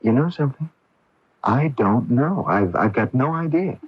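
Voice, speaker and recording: A man playing a kind, earnest young medical intern in a 1980s radio drama, speaking haltingly and soothingly in a husky voice. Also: medium pitch, animated, dry recording. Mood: friendly, hesitant. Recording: dull lo-fi 1980s AM radio recording.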